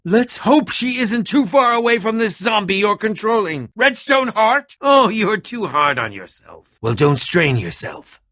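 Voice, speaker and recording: Excitable, high-pitched eccentric adventurer. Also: male voice, a little slow, hardly any pauses, dry recording, clean studio sound, heavily acted. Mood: dry, thoughtful. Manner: theatrical, fussy, dramatic emphasis with sudden swoops. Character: eccentric, excitable male adventurer and potion maker. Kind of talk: animated video game character acting.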